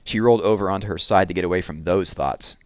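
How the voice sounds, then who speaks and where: A man narrating a children's book aloud with expressive storytelling. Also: sits low, fast talker, smooth, no echo, clean studio sound.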